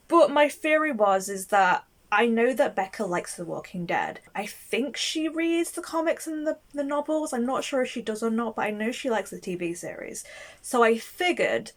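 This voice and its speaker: A young woman, her voice a little croaky from a cold, chatting casually and rambling through a book wrap-up. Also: fairly high pitch, expressive, normal speed. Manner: chatty, rambling, lots of "um" and "I think". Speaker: book vlogger.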